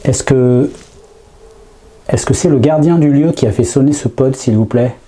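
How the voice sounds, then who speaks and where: A man with a heavy cold, sniffling, quietly calling out to spirits in an empty house and often trailing off and starting again. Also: medium pitch, normal speed. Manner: hushed, hesitant, trailing off and restarting. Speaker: paranormal investigator. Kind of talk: live ghost-hunting vlog, calling out to spirits. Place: empty old house at night.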